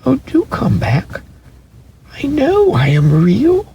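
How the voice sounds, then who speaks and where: A small velveteen rabbit from a storybook, speaks far up in pitch. He sounds wistful and touchy, insisting plaintively on his point. A faint noise sits behind the voice. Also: wildly swooping pitch, slow pace, creaky, dark tone, muffled recording, full character voice. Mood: pleading. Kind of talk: dramatic storybook reading.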